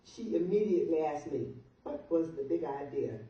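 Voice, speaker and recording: A woman's voice: low-pitched, lively intonation, normal speed, mellow. Older female, guest reader delivering a funny open letter; distant, heavily reverberant room sound. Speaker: guest reader at a birthday party. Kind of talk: reading a humorous open letter aloud to guests. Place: large echoey room at a birthday party. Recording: muffled, very echoey room, distant mic, noise.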